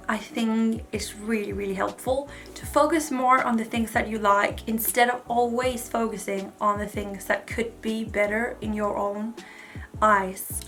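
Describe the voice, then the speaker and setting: A woman's voice: a little high, pushed and bright. A woman, giving frank, chatty advice to camera with lots of "like" fillers. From Denmark. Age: 20s. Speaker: vlogger. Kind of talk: casual advice talk to camera.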